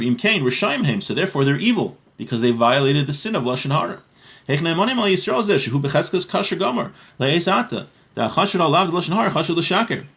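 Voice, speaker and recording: A man's voice: on the high side, fairly bright tone. A man giving an informal religious lesson, reading from a text and explaining it in plain words, often asking questions and answering them himself.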